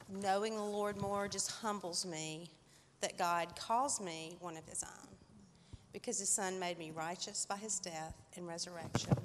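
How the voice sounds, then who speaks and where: A woman giving a humble church testimony and reading scripture aloud, quiet with fillers. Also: medium pitch, slight background noise. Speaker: church member sharing a reflection. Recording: echoey hall.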